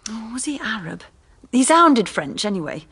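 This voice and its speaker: A woman's voice: on the high side, very animated, normal speed, sharp tone, slightly rough, full character voice. A woman playing a chatty, rambling, slightly scatterbrained landlady in a radio drama. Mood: chatty, helpful. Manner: chatty, rambling, self-correcting.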